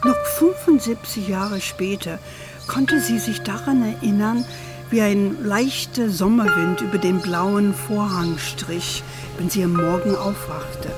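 Affectionate grandma played by a woman for children's audio drama: slow, measured delivery, American-tinged German accent, over clear music. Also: medium pitch, close to an everyday voice. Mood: tender, reminiscing. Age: older. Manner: measured, tender, sprinkles in English endearments. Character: affectionate grandmother telling her family history to her granddaughter. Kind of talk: gentle bedtime storytelling in a children's radio play.

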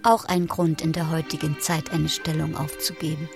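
A woman's voice: sits low, level tone, normal speed. An actress playing a wry, protective female commentator in a radio drama chorus, speaking tersely. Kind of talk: radio drama choral narration. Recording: clear music behind.

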